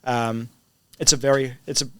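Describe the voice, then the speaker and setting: Middle-aged male teacher in a casual interview: hybrid international English accent, crisp resonant tone, very dry recording, frequent "right?" check-ins. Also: mid-range voice, level tone, moderate pace, dry recording. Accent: mixed English, American and international. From Spain. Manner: conversational, often checks with "right?".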